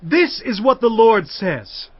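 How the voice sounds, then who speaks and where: A man's voice: sits high, sing-song, takes it slowly, no room sound, highs cut off, full character voice. An actor playing a stern old male prophet who speaks in solemn, commanding pronouncements, in a dramatized audio Bible.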